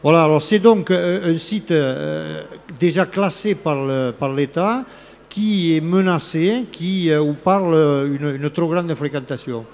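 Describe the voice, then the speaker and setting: A man's voice: a little high, a little slow, gravelly, darkish tone. A man in his sixties, a local elected official, speaking in a live interview on a fair-hall studio set, hesitant with many fillers and restarts. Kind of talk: live talk-show interview. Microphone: red handheld microphone. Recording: faint fair hall ambience.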